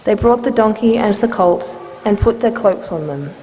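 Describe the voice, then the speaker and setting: A woman's voice: medium pitch, animated, normal speed, dark tone, faint music behind. A woman giving a formal, scripted narration of a scripture story. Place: large church, live reading. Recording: reverberant church hall, faint noise.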